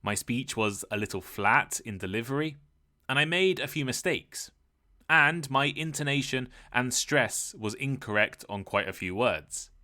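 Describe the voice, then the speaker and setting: A man hosting a podcast, talking through a clear, measured, scripted lesson. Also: mid-range voice, animated, sharp tone. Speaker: podcast host. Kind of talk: scripted educational monologue.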